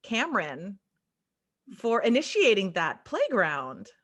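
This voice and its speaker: A woman hosting a podcast, chatting casually and gently about spirituality, laughing often. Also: mid-range voice, huge pitch swings, takes it slowly. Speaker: podcast host.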